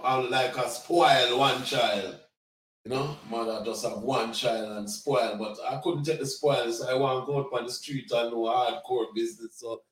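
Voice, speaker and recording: A man in his sixties with a Jamaican accent, a reggae singer telling stories of his youth in a relaxed interview. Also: mid-range voice, roomy sound, far from the mic, poor audio. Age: 60s. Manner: relaxed, laughing, patois phrases. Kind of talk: casual interview storytelling.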